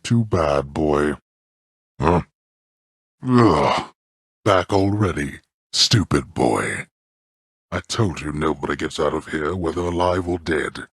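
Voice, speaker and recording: Immensely deep, imperious underworld god. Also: male voice, slow pace, long pauses, husky, no echo, studio quality, full character voice. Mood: scornful dismissal. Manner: haughty, scornful, archaic formal diction. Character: stern, contemptuous god of the dead, imperious father. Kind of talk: video game character voice acting.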